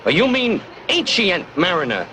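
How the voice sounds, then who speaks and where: An actor playing a naive, goofy comic sidekick in a 1970s TV cartoon. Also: male voice, high-pitched, big pitch swings, moderate pace, sharp tone, slight background noise, heavily acted. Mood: naive, musing. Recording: music behind the voice.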